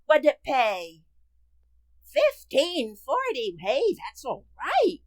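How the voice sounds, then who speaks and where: A woman's voice: high-pitched, wildly swooping pitch, takes it slowly, dry recording, full character voice. A woman playing a brash, slangy, overfamiliar housekeeper in a radio comedy play. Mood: cheerful, slangy. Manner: brassy, overfamiliar, slangy, blunt. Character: brash, gabby, slangy housekeeper who ignores her place. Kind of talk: radio comedy theater acting.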